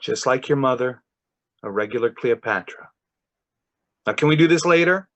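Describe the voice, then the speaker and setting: A man playing a doting, harried father in a play performed as a video call, hurried but indulgent and gently scolding. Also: mid-range voice, animated, close to an everyday voice.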